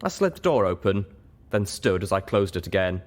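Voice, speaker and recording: A man's voice: low voice, big pitch swings, normal speed, darkish tone. A man narrating a first-person story expressively, as an audiobook reader.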